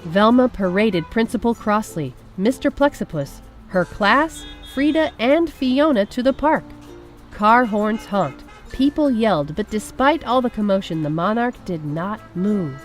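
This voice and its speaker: Female storybook reader, mid-range voice, expressive children's read-aloud with light music underneath. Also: medium pitch, unhurried. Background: background music.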